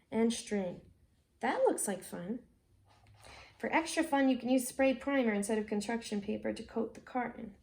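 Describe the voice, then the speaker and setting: An American woman with a high-pitched voice hosts a read-aloud for children. She reads in an expressive storybook style and slips into chatty asides, speaking directly to her young audience.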